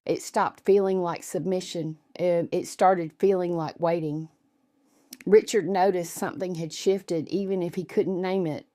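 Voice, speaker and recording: Female story narrator, low register, dry close sound, scripted first-person delivery. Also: steady tone, a little slow, dark tone, no room sound.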